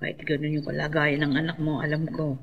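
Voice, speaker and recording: A woman's voice: low-pitched, monotone, fast, muffled recording, a little hiss or hum. An actress playing a kindly, sympathetic older woman in a Filipino radio drama. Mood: gentle.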